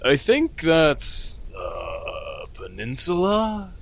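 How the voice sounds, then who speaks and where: A man's voice: on the high side, huge pitch swings, drawn-out words, vocal fry, heavily acted. An actor playing a dim, laid-back teenage male wannabe cultist in a comedic horror audio drama, with earnest, hesitant slacker slang.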